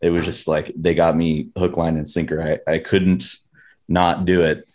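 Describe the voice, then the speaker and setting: A man's voice: low-pitched, flat delivery, normal speed, darkish tone. A man with a Californian accent telling stories casually in an informal, rambling, slangy way. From San Diego, United States. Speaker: metal band vocalist, interview guest. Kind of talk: casual chat, storytelling.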